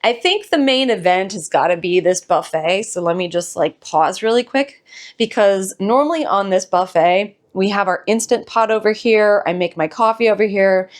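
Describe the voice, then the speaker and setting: Hoarse, bubbly woman giving a home tour. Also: mid-range voice, moderate pace. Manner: bubbly, rambling, self-deprecating. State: hoarse, recovering from illness. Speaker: host of a home decor channel. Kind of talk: casual chatty home tour.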